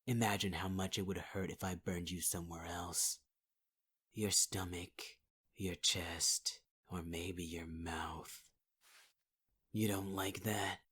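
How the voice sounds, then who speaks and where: A man's voice: deep voice, steady tone, slow, fairly bright tone. A man performing a menacing, taunting villain in an ASMR audio roleplay, often half-whispering. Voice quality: husky, half-whispered delivery.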